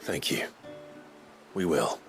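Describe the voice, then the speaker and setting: An actor playing a reserved fantasy warrior in a video game, courteous and dryly wry, with terse, restrained delivery. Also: male voice, takes it slowly, soft background music, lightly acted. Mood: polite, reserved. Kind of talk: video game cutscene acting.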